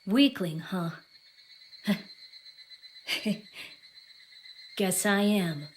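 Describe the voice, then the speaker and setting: A woman's voice: mid-range voice, very slow, a little hiss or hum. A woman playing a proud, bitter young exiled prince in an audio drama, cool, defiant and articulate. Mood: dry, bitter. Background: background music.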